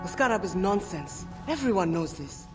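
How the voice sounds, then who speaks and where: A woman's voice: on the low side, sing-song, moderate pace. A woman playing an exasperated, worried wife and mother in a video game, speaking emphatically. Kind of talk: dramatic video game acting. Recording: long reverb, music behind.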